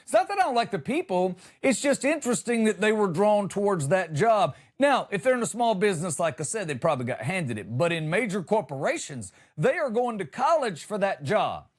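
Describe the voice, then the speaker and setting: A man's voice: high-pitched, very animated, a little fast, few pauses. A man hosting a comedy show, delivering a conversational monologue with exasperated, emphatic punchlines.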